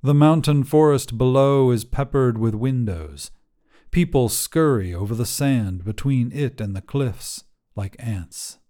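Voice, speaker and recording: A man narrating a literary story as a weary, desolate immortal guardian, in a solemn, measured, brooding manner. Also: mid-range voice, animated, mellow, lightly acted. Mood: somber. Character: weary, desolate immortal guardian, forsaken by gods, telling their tale.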